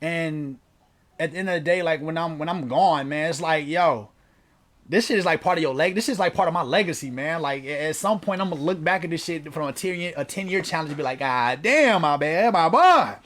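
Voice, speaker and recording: Loose-talking young man, a bit high. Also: expressive, a little fast, a bit bright. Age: 20s. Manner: loose, profane, rambling and self-joking. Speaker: host. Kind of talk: casual interview chat.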